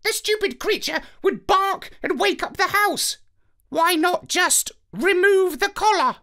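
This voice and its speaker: A haughty parrot genius from a children's storybook, extremely high and piercingly bright. The bird squawks out each phrase slowly and pompously, terribly pleased with its own intellect. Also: male voice, animated, exaggerated voice. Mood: scornful. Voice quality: shrill, squawky. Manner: pompous, drawn-out theatrical delivery.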